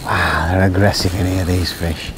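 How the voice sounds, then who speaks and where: A man's voice: low-pitched, little pitch movement, normal speed. A man giving casual live commentary while fishing a match, chatting to viewers as folks, recorded outdoors by a lake on a body-worn mic. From England. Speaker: angler presenting a fishing match. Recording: wind noise on outdoor mic.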